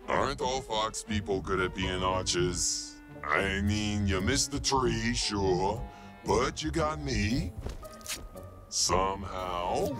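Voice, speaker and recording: A man's voice: fairly low pitch, slow pace. A man playing a boastful, goofy, indignant panda sidekick in an audio drama, with exaggerated delivery. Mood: indignant, puzzled. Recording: faint music behind the voice.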